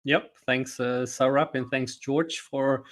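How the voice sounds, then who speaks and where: A man's voice: medium pitch, fairly even intonation, takes it slowly, fairly bright tone. A middle-aged man from Belgium, explaining his ideas as a podcast guest and coach. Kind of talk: explanatory interview talk.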